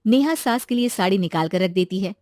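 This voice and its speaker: A woman reading scripted narration for an animated moral story. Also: medium pitch, brisk, no echo, clean studio sound. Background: music.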